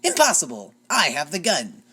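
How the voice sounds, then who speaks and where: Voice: mid-range voice, huge pitch swings, normal speed, bright, forceful tone, breathy edge, full character voice. A reader doing a blustering space commander. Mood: blustery. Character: brash heroic space commander mare, blustery.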